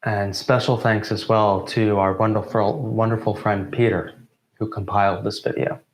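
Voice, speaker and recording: Young male event host, low-pitched, formal and measured, recorded in a roomy echo. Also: level tone. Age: 20s. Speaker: co-founder of student theatre group introducing an event. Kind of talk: formal welcome speech. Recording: roomy, echoing sound.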